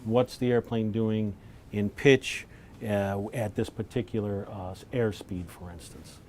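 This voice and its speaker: Lower-voiced man briefing visitors in noisy room. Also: fairly even intonation, measured pace, a little mellow. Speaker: lab lead presenting to visitors. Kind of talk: informal technical briefing. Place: laboratory tour, indoors. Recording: noisy room with low rumble.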